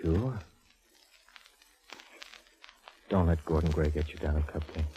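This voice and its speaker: A man's voice: rumbling low, a little fast, dark tone. An actor playing a weary, affectionate male homicide inspector, plain-spoken and teasing, in 1940s radio drama. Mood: fond, casual. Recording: muffled vintage radio recording.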